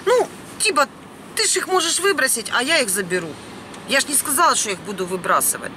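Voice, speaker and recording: A middle-aged woman vlogger. She rants emphatically and indignantly, with mild swearing thrown in. Clear vehicle noise runs behind her as she talks into a camera inside a van. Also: high voice, sing-song, fast, bright, forceful tone. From Ukraine. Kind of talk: unscripted emotional vlog rant. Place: inside a parked or moving van. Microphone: camera at about arm's length. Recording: noisy vehicle cabin.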